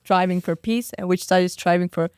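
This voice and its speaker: The young female guest on an interview show, a teenager with a clean, smooth voice close in a dry room, making an impassioned case. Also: on the low side, fairly even intonation, a little fast, a little mellow, dry recording. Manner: outspoken, emphatic rhetorical questions. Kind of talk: conversational interview, impassioned argument.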